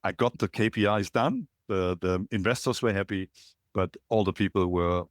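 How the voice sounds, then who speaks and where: A middle-aged man from Germany with a German accent, a podcast guest calling in remotely and telling his story in a reflective, halting way with many fillers. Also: deep voice, dry recording, studio quality. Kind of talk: casual interview, storytelling. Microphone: remote call.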